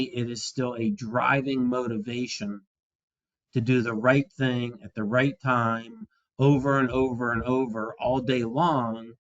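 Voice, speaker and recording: A man in his sixties giving an instructional talk to fellow practitioners in an earnest, deliberate, emphatic way. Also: mid-range voice. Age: 60s. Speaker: chiropractor and practice coach. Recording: dull, dry lo-fi audio.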